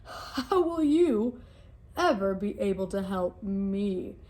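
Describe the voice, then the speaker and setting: A woman voicing a big, boastful male lion who calls himself king of the jungle, talking loud and scoffing. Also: medium pitch, lively intonation, slow.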